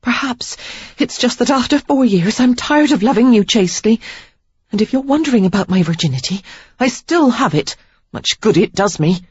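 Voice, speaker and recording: A woman's voice: moderate pace, warm tone, slightly rough, dry recording. Woman voicing fiery young woman Sarah. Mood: defiant, angry. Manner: pleading then fiery, high and emphatic. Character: ardent young woman, impulsive and defiant. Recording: slightly dull lo-fi recording.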